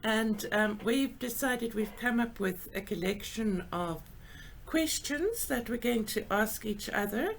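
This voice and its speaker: An older woman with a South African English accent, hosting a chatty video call from home, enthusiastic and quick to laugh. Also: a little high, expressive, creaky, slight background noise. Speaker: host. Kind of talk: casual chat. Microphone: video call with earphones.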